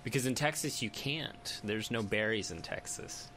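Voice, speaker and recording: A man's voice: lowish voice, normal speed, bright, forceful tone, slight background noise. An American man hosting an interview, chatting casually and joking, laughing often. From United States. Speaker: interviewer, host.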